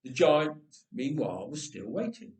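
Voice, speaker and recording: A man reading a story aloud with expressive, playful and dramatic narration, phrasing it deliberately. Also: on the high side, recorded from a distance. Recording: roomy, echoing room sound.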